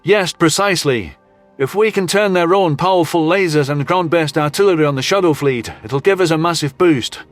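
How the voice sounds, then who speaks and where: A man as a frank, higher-pitched military officer. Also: normal speed, no echo, soft background music, lightly acted. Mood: brisk, official. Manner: blunt, matter-of-fact briefing. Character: brisk, frank human male military officer. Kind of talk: audio drama character acting.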